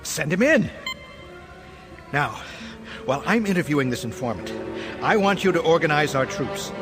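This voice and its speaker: An actor playing a scheming, easily flustered Imperial colonel in a science fiction audio drama, bossy and exasperated. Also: male voice, on the high side, big pitch swings, moderate pace, over music. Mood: firm, scheming. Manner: exasperated, bossy with underlings, fawning to superiors.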